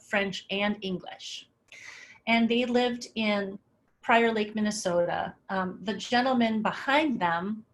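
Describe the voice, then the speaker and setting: A woman's voice: medium pitch, low-quality recording. A middle-aged woman giving a webinar talk over slides, in a casual, conversational way with many filler words. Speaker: webinar presenter.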